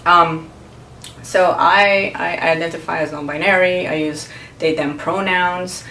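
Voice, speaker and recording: A woman, a Portuguese speaker, explaining her views to camera in a thoughtful, earnest way and pausing to search for words. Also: fairly low pitch, fairly even intonation, pushed and bright, recorded from a distance, slight background noise. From Brazil. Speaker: vlogger. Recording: roomy echo, mic set back.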